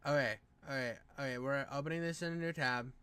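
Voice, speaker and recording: A man's voice: medium pitch, quick pace, bright, forceful tone, smooth, dry recording. A man doing casual off-the-cuff streamer commentary, rambling and slangy, often repeating his words. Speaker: streamer reacting to a video.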